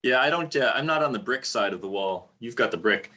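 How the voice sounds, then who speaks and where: A man from Canada who plays in rock bands, speaking haltingly with many fillers on a video call panel. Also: mid-range voice, animated, bright, forceful tone. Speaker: rock musician guest.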